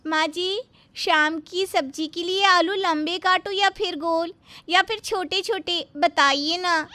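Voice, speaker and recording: A woman's voice: steady tone, takes it slowly, sharp tone, exaggerated voice. A woman voicing an eager, overly obedient young daughter-in-law who talks sweetly and takes everything literally. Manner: sweet, literal-minded, high and singsong.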